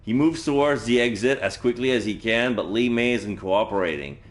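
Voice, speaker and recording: A man's voice: medium pitch, moderate pace. A man livestreaming a game, reading visual novel text aloud and adding casual commentary as he plays. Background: game music.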